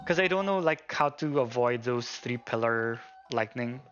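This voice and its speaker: Man, medium pitch, casual gameplay commentary, frustrated muttering, game music behind. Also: animated, moderate pace, highs cut off. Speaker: gaming streamer. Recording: voice over loud game music. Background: game music and sound effects.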